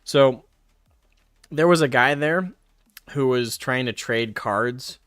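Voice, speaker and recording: A man's voice: medium pitch, takes it slowly, a bit bright, no echo, clean studio sound. A man streaming casually, reading card text aloud and drifting into rambling personal stories. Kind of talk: casual live stream chat.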